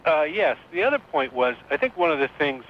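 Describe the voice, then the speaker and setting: A man calling in to a radio show, discussing politics in formal, articulate wording with hesitant pauses. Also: very animated. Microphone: phone. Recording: noisy dull call-in line, low rumble.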